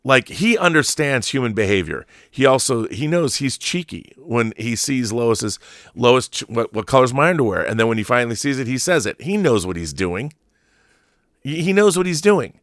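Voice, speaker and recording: A man in his fifties with a mid-pitched voice hosts a casual livestream. He talks in an opinionated, emphatic manner, doubling back on phrases to drive them home. The sound is dry, with little room around the voice. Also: animated, normal speed, fairly bright tone, no room sound. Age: 50s. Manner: opinionated, emphatic, profane, repeats himself for emphasis. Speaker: livestream host. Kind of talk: casual livestream commentary, reading viewer messages.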